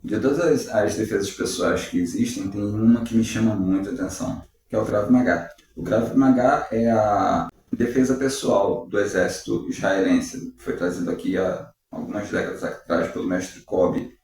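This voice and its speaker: Mellow man in an echoey room. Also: lowish voice. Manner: measured, uses rhetorical questions. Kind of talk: reflective devotional talk. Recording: very echoey room, distant mic.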